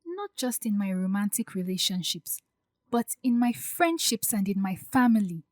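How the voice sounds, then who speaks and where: A woman's voice: mid-range voice, expressive, moderate pace, no echo, close to an everyday voice. Woman acting guarded young woman, insecure, earnest and confiding, dry close sound, audio drama. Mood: vulnerable, confiding.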